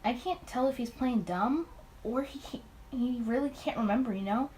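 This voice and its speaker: A woman's voice: a little high, moderate pace, a little mellow, slight background noise. A woman giving casual playthrough commentary on a game and reading its text aloud. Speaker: gaming video host.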